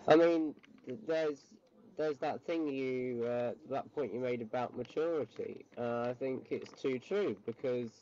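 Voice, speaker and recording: A man's voice: mid-range voice, measured pace, dark tone, lo-fi sound, slight background noise. A man chatting casually as a friend, hesitant and agreeing. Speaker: co-host friend. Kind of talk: casual chat.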